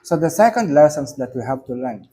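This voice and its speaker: A middle-aged man from the Philippines with a Filipino accent, a reverend reading a spiritual reflection aloud, slowly and with emphasis on key words. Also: a little high, animated, mellow, breathy edge. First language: not English. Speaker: reverend giving commentary.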